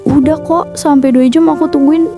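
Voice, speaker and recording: Timid cartoon schoolgirl: very high, hesitant, apologetic, music bed. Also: steady tone, normal speed. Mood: meek, apologetic. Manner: hesitant, stammering. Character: timid, apologetic bullied teenage girl. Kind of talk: animated drama voice acting. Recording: music behind the voice.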